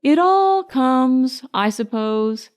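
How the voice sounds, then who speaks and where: A woman's voice: high voice, expressive, drawn-out words, darkish tone, full character voice. A woman voicing a simple, honey-loving male bear in a storybook, musing earnestly and ponderously. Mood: flustered.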